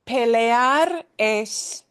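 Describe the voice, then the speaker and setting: A woman's voice: on the high side, very slow, fairly bright tone, clean tone. A woman teaching a language class, patiently explaining and repeating words. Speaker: Spanish teacher.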